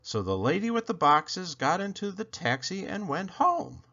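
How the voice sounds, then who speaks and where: A man reading a children's picture book aloud, expressively and with dramatic pauses. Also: high voice, very animated, pushed and bright, muffled recording. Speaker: read-aloud storyteller.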